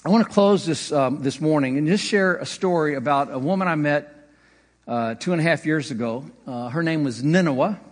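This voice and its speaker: A man's voice: a little high, normal speed. A man preaching a sermon as pastor, conversational, with occasional slips and light laughter. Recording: long hall reverb.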